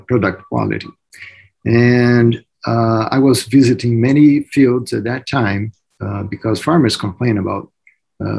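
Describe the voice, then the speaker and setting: A man in his forties with a Brazilian accent, answering interview questions in halting English with many fillers and restarts. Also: lowish voice, creaky, a little mellow, husky. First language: Portuguese. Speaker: agronomist guest.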